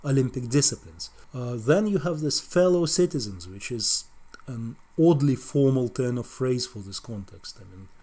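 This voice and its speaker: A man's voice: medium pitch, expressive, normal speed, darkish tone. A middle-aged man with a Russian accent giving a wry, conversational lecture on translation, often ending points with tag questions. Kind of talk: explanatory video lecture.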